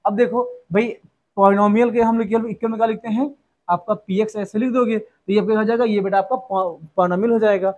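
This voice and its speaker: A man's voice: high-pitched, steady tone, quick pace, darkish tone, rough recording. A young man teaching a maths lesson, calling his students "beta" and asking rhetorical questions he answers himself. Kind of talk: classroom lesson explanation.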